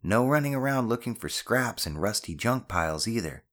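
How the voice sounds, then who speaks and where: A caring audiobook mother played by a male narrator, lifted well above his natural pitch. She is gently stern and a little self-conscious, and she chides with plain affection. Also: lively intonation, normal speed, natural voice. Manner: chiding but affectionate. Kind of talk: audiobook character dialogue.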